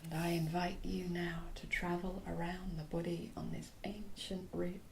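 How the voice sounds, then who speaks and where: A woman guiding a yoga nidra relaxation in a soothing, hushed manner, in short phrases with long pauses. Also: lowish voice, little pitch movement, gravelly, a little hiss or hum. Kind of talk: guided relaxation meditation.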